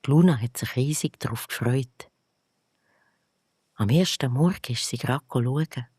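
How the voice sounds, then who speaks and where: A bedtime storyteller with a Swiss German accent reads at an unhurried pace. The delivery is gentle and deliberate, made for children, and the recording is close and dry. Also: mid-range voice, breathy edge, no room sound. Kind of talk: scripted narration of a bedtime story.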